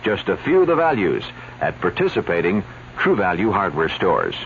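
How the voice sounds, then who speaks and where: A man reading a scripted radio commercial as an advertising pitchman. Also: wildly swooping pitch, normal speed, creaky. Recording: tinny, noisy old radio recording.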